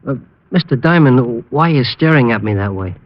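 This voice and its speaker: An actor playing a nervous young husband, hesitant and anxious, in an old radio drama. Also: male voice, medium pitch, lively intonation, fairly quick, lightly acted. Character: nervous young married man being blackmailed. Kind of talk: 1950s radio drama acting. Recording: dull old radio recording.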